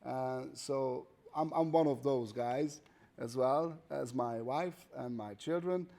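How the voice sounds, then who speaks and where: Mellow-voiced man. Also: medium pitch, takes it slowly. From Hungary. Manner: conversational, often adds "right?" and "you know". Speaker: guest artist.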